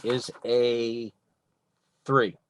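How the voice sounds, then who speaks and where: A man's voice: medium pitch, level tone, drawn-out words, clean tone, no room sound. A man talking casually as a player in an online tabletop role-playing game.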